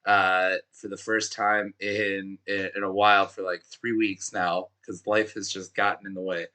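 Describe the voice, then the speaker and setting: A man with a hoarse, worn-out voice hosting a live music show in a chatty, pumped-up way. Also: deep voice, flat delivery, a little slow, bright, forceful tone. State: hoarse, has lost his voice. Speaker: show host.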